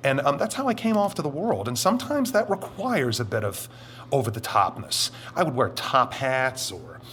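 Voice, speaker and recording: A middle-aged man with a deep voice talks through his answers in a measured, explanatory way, leaning on certain phrases and stretching them out. A faint hiss of background noise sits under the recording. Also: big pitch swings, a bit bright. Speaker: interview guest. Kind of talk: conversational interview answers.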